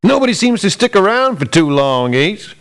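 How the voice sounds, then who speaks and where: An actor playing a disgruntled, streetwise mercenary in a video game, with blunt, sarcastic delivery. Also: male voice, sits high, big pitch swings, normal speed, a bit bright, exaggerated voice. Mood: sardonic, resentful. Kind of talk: video game character lines.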